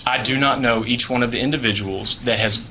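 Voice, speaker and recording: A man's voice: mid-range voice, fairly quick, a loud crowd, rough recording. A man from Houston giving formal, polite testimony before a committee and defending his point under questioning. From Houston, Texas. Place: legislative hearing room.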